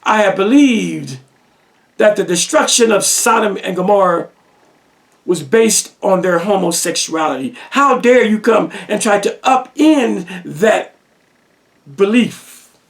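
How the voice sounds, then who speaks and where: Slow, gravelly high-voiced man lecturing. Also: expressive, distant mic. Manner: emphatic, rhetorical questions, repetition for effect. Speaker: religious teacher. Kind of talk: lecture-style monologue.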